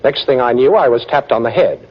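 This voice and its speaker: An actor playing a wisecracking, unflappable adventurer hero in a 1940s radio detective drama. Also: male voice, mid-range voice, animated, brisk, natural voice. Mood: earnest, brisk. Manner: breezy, sardonic quips under threat. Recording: muffled 1940s radio recording.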